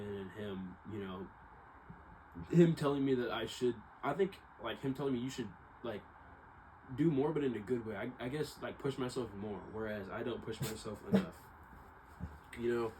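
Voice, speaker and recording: A young man with a fairly low voice talks off the cuff, as in a personal vlog. He is reflective and hesitant, looping back to restate his thoughts. Steady noise is clearly audible behind him. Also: low-quality recording. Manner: hesitant, reflective, circling back over points. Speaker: vlogger. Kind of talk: casual rambling monologue to viewers.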